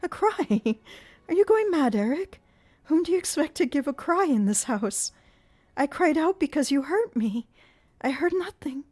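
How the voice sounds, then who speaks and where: A woman's voice: very animated, a little slow, darkish tone. A woman doing a frightened pleading girl. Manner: high, pleading, breathless. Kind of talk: audiobook character voice.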